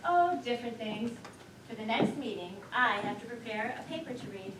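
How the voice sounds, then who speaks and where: A woman's voice: fairly high pitch, moderate pace, natural voice. A woman on stage playing a proud, chatty, self-important wife in a comedy, gushing and self-satisfied. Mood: smug, boastful. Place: theater stage, recorded from afar. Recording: echoey theater, distant mic, noisy.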